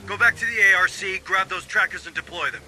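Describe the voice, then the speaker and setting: Marine sergeant, game cast. Very sharp, slightly high male voice, cocky and commanding, light combat noise underneath. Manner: commanding, brisk, cocky-humored orders. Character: gruff, confident marine sergeant commanding his squad in battle. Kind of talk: military video game voice acting.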